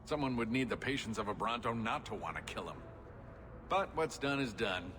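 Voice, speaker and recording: A man's voice: medium pitch, lively intonation, normal speed, lightly acted. A man voicing a wry, persuasive dwarf rogue deal-maker in a video game. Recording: reverberant hall, noticeable ambient noise.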